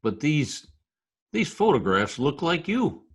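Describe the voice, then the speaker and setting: A man's voice: a little high, big pitch swings, slow pace, slightly rough, full character voice. A man playing a wry, skeptical Broadway wise guy in a 1940s-style radio drama, wisecracking in stiffly formal slang. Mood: surprised, probing. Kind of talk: old-time radio drama, present-tense slangy narration and dialogue. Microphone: video call recording.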